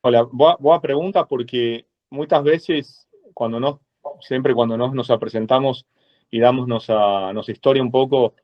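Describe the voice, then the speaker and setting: A man from Argentina with an Argentine Spanish accent, a lawyer speaking as a guest in a webinar, measured and full of hesitant fillers. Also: medium pitch. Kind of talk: webinar presentation. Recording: dull lo-fi webinar audio.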